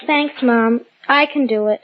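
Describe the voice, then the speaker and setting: A woman's voice: a little high, measured pace, slight background noise, close to an everyday voice. An actress playing a busy, offhand little girl in an old radio drama, preoccupied and matter-of-fact. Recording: dull old radio recording.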